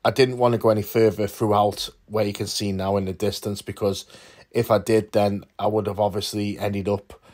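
A man's voice: lowish voice, flat delivery, normal speed, hardly any pauses, a little mellow. A man, explaining in an informal vlogger's voice-over. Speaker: urban exploration vlogger.

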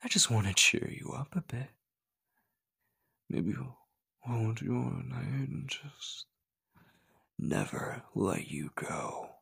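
A man's voice: medium pitch, big pitch swings, takes it slowly, gravelly, pauses often, fairly bright tone, husky, full character voice. A man playing a possessive, obsessive stalker in an ASMR roleplay, menacing and coaxing, often dropping to a whisper. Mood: sinister, teasing. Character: possessive, obsessive male stalker hunting his beloved.

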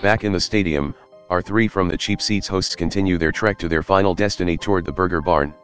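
An actor as a dramatic narrator reading scripted scene-setting for an audio drama. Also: male voice, sits low, brisk, fairly bright tone, soft background music. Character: dramatic male story narrator.

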